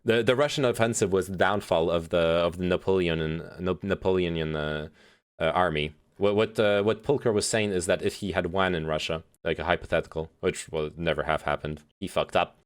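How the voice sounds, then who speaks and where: A man's voice: sits low, brisk, clear, resonant tone. A young man streaming, chatting about politics with many fillers and restarts, swearing freely as he reads and answers chat. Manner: reads chat messages aloud and reacts.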